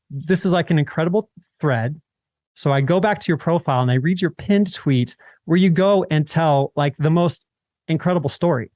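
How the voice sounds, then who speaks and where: A man's voice: a little high, darkish tone, dry recording. A man hosting a podcast, introducing and interviewing in a conversational way. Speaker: podcast host.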